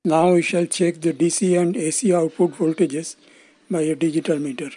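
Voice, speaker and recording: A man in his sixties giving scripted instructional narration as an electronics instructor, in a measured, formal, explanatory manner. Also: fairly high pitch, steady tone, warm tone, breathy edge. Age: 60s.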